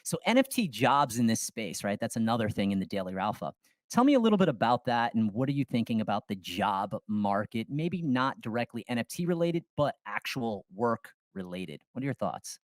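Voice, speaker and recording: A man's voice: mid-range voice, very animated, brisk, dry recording. A man hosts a casual interview podcast in a mid-range voice. He talks in a conversational, enthusiastic way. The sound is dry, with hardly any room on it. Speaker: podcast host.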